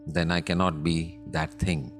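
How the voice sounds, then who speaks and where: A man with a deep voice and an Indian accent guides a contemplative meditation. He speaks deliberately, leaving long pauses between measured phrases. Instrumental music plays clearly behind his voice. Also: little pitch movement.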